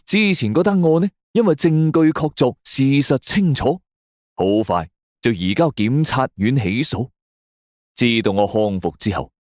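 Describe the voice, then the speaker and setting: A man's voice: fairly low pitch, darkish tone, no echo, studio quality. A man narrates a scripted crime and ghost story in a suspenseful, theatrical way. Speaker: audio drama narrator. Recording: music bed under the voice. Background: background music.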